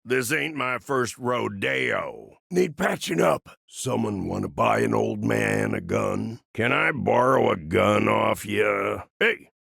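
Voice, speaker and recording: Grizzled old American cyborg gunslinger for a game: very gravelly, sharp-edged, wry and folksy. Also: male voice, fairly low pitch, lively intonation, takes it slowly, hardly any pauses, dry recording, clean studio sound, heavily acted. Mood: hurt, wry. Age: older. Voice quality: gravelly, husky. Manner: folksy drawling slang, wry and grumbling. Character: gruff, grizzled old part-machine gunfighter, wry and world-weary. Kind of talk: video game character voice lines.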